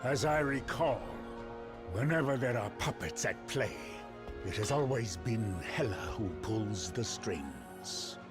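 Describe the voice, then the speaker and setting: A man's voice: fairly low pitch, lively intonation, takes it slowly, over music. An actor playing a stern, majestic old Norse god-king in a game cutscene, speaking in a grandiose, archaic, commanding way. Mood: cold menace. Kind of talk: theatrical game cutscene acting.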